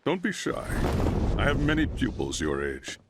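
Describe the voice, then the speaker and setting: An actor playing a boastful older martial arts teacher in a video game, pompous and theatrical in delivery. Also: male voice, mid-range voice, wildly swooping pitch, normal speed, heavy background noise. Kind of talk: video game cutscene acting.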